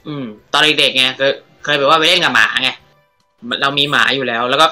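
A man's voice: mid-range voice, brisk, pushed and bright, faint music behind. A man guesting on a comedy podcast, chatting casually in blunt, joking, sweary banter.

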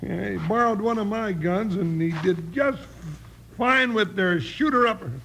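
An American man playing a folksy, loyal, excitable cowboy sidekick with drawling Western slang in an old-time radio western. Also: sits high, measured pace, creaky, full character voice. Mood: admiring. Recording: noisy, clicky old radio recording.

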